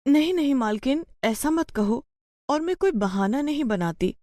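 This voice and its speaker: Woman playing a poor village mother, pleading, sorrowful, lively swooping pitch, dry storybook recording. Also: normal speed, no echo. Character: pleading, troubled poor widowed-seeming village mother and maid. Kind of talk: acted dialogue in a Hindi moral story.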